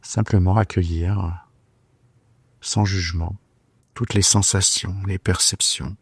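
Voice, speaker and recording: A man leading a guided relaxation for sleep as a yoga nidra guide, speaking gently and soothingly with hesitant pauses. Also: deep voice, steady tone, slightly rough, no room sound. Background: soft plucked guitar music.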